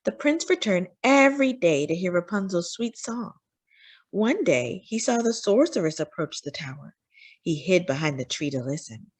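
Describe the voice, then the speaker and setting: Children's storyteller, female, animated pitch, dramatic emphasis, narrating fairy tales to young listeners. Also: normal speed. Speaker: children's read-aloud storyteller.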